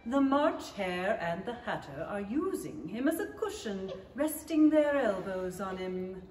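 A woman's voice: mid-range voice, big pitch swings, unhurried, darkish tone. A young woman narrating a storybook radio play from a script. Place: stage in a theatre hall. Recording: echoey stage, distant mic. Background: music.

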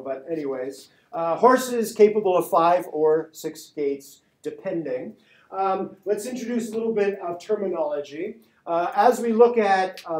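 Man lecturing in an echoey hall. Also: sits high. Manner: conversational, frequent "right?" checks and restarts. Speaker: university lecturer. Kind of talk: classroom lecture.

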